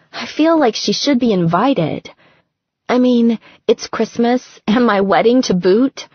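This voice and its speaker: A woman voicing an affectionate, sardonic young bride-to-be who frets, in an expressive conversational manner for an audiobook. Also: medium pitch, husky, no echo, highs cut off, voice pushed up.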